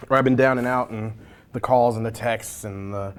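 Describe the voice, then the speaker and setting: A man's voice: mid-range voice, fairly even intonation, moderate pace, a little hiss or hum. A man hosting a casual, jokey interview with informal, colloquial phrasing. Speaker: interview host, library information desk worker. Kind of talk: casual chat during a hot sauce challenge interview.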